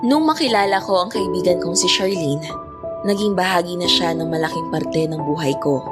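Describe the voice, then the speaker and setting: Woman narrating as protective young friend, Filipino accent, low-leaning pitch, reflective audio drama, heavy music bed. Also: normal speed, close to an everyday voice. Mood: earnest, reflective. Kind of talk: scripted first-person audio drama narration. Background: prominent soft background music.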